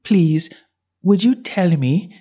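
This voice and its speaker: Young girl, polite and earnest, quick to get exasperated, read by a man. Raised pitch, husky. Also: animated, mellow, heavily acted.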